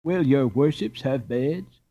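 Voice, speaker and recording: Storybook innkeeper, voiced by a man: servile, coaxing, lively swooping intonation, slightly muffled recording. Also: medium pitch, slow. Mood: deferential. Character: fawning, deferential male Dutch innkeeper, coaxing.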